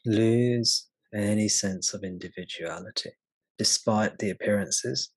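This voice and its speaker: A man guiding a meditation as a spiritual teacher, speaking gently and unhurriedly with long pauses. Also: lowish voice, steady tone, slightly rough, no echo. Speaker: spiritual teacher leading satsang. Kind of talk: slow guided meditation.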